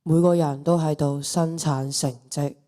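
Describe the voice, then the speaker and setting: A teenage girl playing a reflective, measured student narrator in a radio drama. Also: low-pitched, steady tone, dark tone. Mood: solemn.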